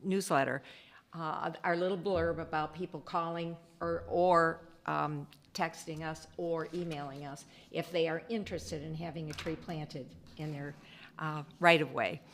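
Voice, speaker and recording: A woman village trustee with a loud voice, giving a chatty report at a council meeting with many pauses and ums. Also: deep voice, fairly even intonation, a little hiss or hum.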